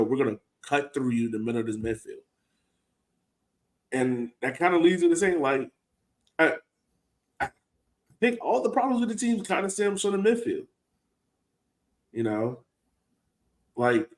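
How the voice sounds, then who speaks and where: An American man co-hosting a soccer podcast, breaking down a match in informal, slangy casual talk. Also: mid-range voice.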